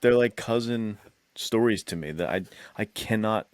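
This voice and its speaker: A man with a very low voice chats casually on a podcast. His delivery is laid-back and full of enthusiastic slang. The sound is dry and close, with little room in it. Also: normal speed, no echo. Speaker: podcast co-host. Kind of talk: casual film discussion chat.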